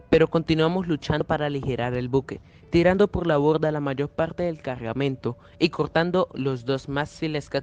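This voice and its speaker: A man's voice: fairly high pitch, darkish tone. A young man reading a scripted, dramatic story as narrator. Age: young adult. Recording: music playing clearly behind the voice.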